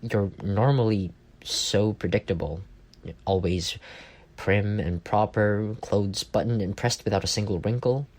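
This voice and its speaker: Casting: male actor as cocky, teasing office rival for intimate audio roleplay; lowish pitch, very unhurried delivery. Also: a little mellow. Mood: flustered, defensive. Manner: teasing, sardonic, stammers when caught off guard. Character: arrogant, bantering male office rival turned flustered admirer.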